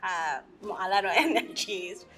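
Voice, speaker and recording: A woman's voice: mid-range voice, measured pace, a bit bright, soft background music. Woman in her forties from Colombia. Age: 40s. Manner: simple non-native English, laughs often. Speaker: interviewee.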